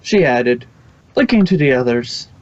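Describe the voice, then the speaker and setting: A man's voice: medium pitch, expressive, measured pace, highs cut off. A man reading fan fiction aloud as the narrator, close to the microphone.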